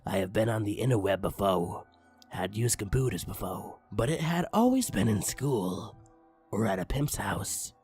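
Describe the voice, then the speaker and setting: A man with a slightly low, husky voice tells a comedic story in a dramatic narrator's style. He plays it mock-serious, with sudden swings of emphasis. Faint music runs underneath. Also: animated, moderate pace, a little mellow. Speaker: narrator reading a parody creepypasta story aloud. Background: background music.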